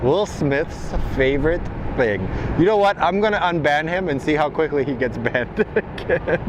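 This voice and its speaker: A man's voice: a little high, expressive, normal speed. A man with an American accent, a native Serbian speaker, chatting casually and jokingly as he hosts a live stream. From United States. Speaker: livestream host. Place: outdoors near an airport. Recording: loud outdoor airport and traffic noise.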